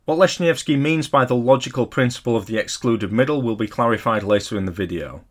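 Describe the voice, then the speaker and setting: A man narrating a scripted lecture as an amateur hobbyist video host, in a measured, careful and modest explanatory manner. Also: mid-range voice. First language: not Polish; English speaker.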